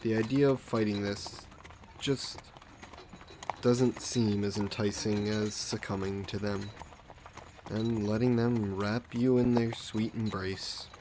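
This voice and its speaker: A man playing a suave, possessive vampire lord in an intimate fantasy audio roleplay, speaking softly and coaxingly with condescending affection, as if hypnotizing a captive. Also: fairly low pitch, takes it slowly, a little hiss or hum. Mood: soothing, hypnotic. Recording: steady background carriage and rain ambience. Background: horse-drawn carriage and rain sound effects.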